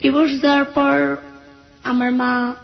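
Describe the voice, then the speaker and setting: An 18-year-old woman telling her own life story in a radio interview, hesitant and soft-spoken. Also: sits high, level tone, measured pace, creaky, muffled recording. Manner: hesitant, soft-spoken, with frequent "mane" fillers.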